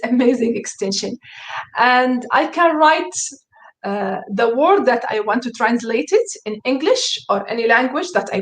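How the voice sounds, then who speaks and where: A fairly high-voiced woman whose first language is Arabic walks teachers through a screen-share tutorial. She speaks slowly and hesitantly, with many fillers and restarts, and sounds enthusiastic. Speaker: teacher presenting.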